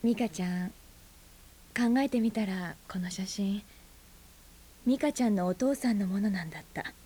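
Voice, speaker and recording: A husky aunt played by a woman in a radio drama, breathy and guarded. Her casual, blunt phrasing covers a buried grief. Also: mid-range voice, moderate pace, mellow, lightly acted. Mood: subdued, bitter. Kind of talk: naturalistic Japanese radio drama acting. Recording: 1990s FM radio drama on cassette.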